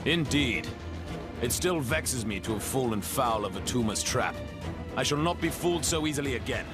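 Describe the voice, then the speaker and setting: A man's voice: mid-range voice, expressive, moderate pace, lightly acted. A man playing a proud, vexed hero in a video game, with lofty, archaic diction. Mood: vexed pride. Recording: loud music behind the voice.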